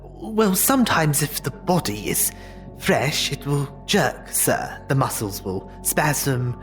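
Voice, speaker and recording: An actress playing a deferential but curious Victorian dissection assistant in an audio drama, hesitant and measured. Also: female voice, rumbling low, big pitch swings, faint music behind, full character voice. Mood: explaining, firm.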